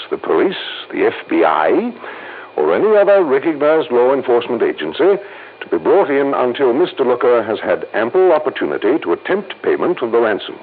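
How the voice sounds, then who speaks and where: An American actor playing a fussy, anxious insurance official with formal, precise, hesitant speech in a 1950s-style radio drama. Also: male voice, mid-range voice, breathy edge, narrow, tinny audio. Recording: thin, hissy old radio recording.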